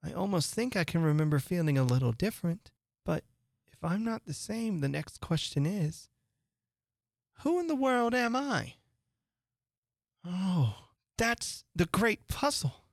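A woman's voice: lowish voice, big pitch swings, a little slow, gravelly, pauses often, dry recording, studio quality, voice pushed up, full character voice. A woman voicing a young girl who is curious, fretful and prim, talking to herself in wonder. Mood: puzzled.

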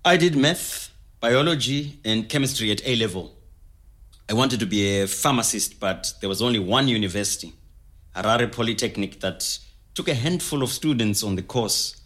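A man's voice: lowish voice, moderate pace, a bit bright, close to an everyday voice. An actor playing an easygoing, wry man recalling his younger days in a conversational storytelling style. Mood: wry, reminiscing. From Zimbabwe. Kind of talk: scripted oral-history storytelling in conversational manner.

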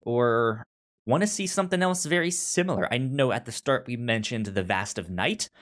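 Halting, stammering man chatting casually. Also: mid-range voice, animated, fairly bright tone. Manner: halting, stammering, repeats words and trails off. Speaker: podcast co-host. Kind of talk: casual film discussion chat.

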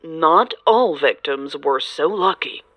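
A woman's voice: deep voice, lively intonation, takes it slowly, a bit bright, no echo, soft background music, heavily acted. A woman playing a serious, formal news reporter reading a scripted report in an audio drama. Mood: grave, formal. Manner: formal, grave.

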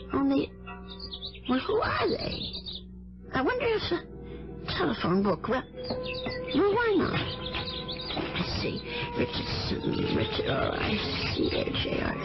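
Muffled old radio sound with music frames a timid older landlady. Her voice sits fairly high and swoops widely. She frets and flutters, hesitating as if thinking aloud, in vintage radio drama style. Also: full character voice. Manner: fluttery, hesitant, muttering to herself. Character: fretful, timid older landlady drawn into trouble.

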